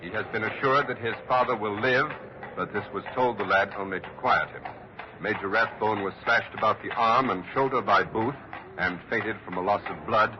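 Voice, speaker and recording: A man acting an urgent, solemn reporter in an old radio drama. His voice is lowish, formal and dramatic, heard through a muffled broadcast with clear background noise. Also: normal speed, lightly acted. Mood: grave. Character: grave, urgent male radio news reporter. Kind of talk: 1940s radio acting, live news reporting.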